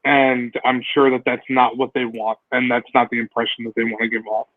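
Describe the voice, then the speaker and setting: Tinny-sounding animated man chatting games. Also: mid-range voice, fairly quick, no room sound. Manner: animated, lots of "like" and restarts. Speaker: podcast co-host. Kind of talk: casual gaming chat. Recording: dry, tinny phone-like sound.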